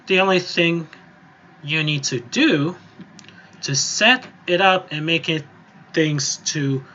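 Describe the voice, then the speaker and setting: Guy doing a casual software walkthrough, kinda high bright voice, rambles thru the steps. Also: dull audio. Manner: informal, rambling step-by-step explaining. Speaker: music software tutorial presenter. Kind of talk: casual, improvised screen-recorded tutorial. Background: voice-over on a screen recording.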